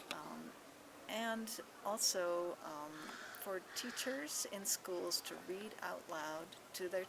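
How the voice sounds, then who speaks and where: A woman giving a measured interview explanation as an English language educator. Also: lowish voice. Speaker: English language fellow, teacher trainer. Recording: noisy on-location interview with clear background ambience.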